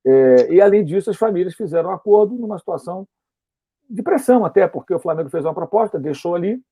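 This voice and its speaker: A man hosting a live stream, talking in a measured, conversational way. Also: sits high, animated, dark tone, breathy edge. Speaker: host.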